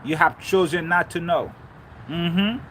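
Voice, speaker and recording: A man's voice: a little high, a little slow, a bit bright, a little hiss or hum. A man from Haiti giving a fervent spiritual talk on faith and relationships, repeating key lines for emphasis. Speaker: spiritual speaker. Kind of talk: preachy motivational talk.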